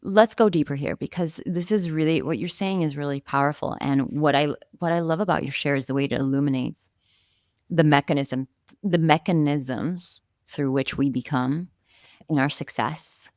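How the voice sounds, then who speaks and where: A woman's voice: low voice, moderate pace, darkish tone, dry recording, studio quality. A woman who is not a native English speaker hosts an interview, asking reflective, affirming questions. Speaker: podcast host. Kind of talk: interview hosting.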